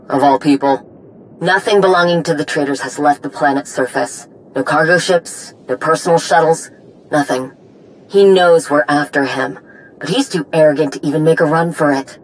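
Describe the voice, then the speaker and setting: A woman's voice: low voice, a little hiss or hum, natural voice, low-quality recording. A woman playing a stern, vengeful warrior commander in a video game, speaking in terse, weighted lines. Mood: vengeful, intense. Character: grim, vengeful female Mandalorian warrior commander. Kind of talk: video game dramatic acting.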